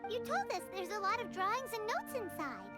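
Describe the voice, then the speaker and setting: A woman voicing a tiny, childlike fairy companion in a video game, squeaky, bubbly, cheeky and dramatic. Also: very animated, brisk. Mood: curious, chirpy. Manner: bubbly, dramatic, speaks of herself in third person. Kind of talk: scripted video game dialogue. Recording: loud background music under the voice.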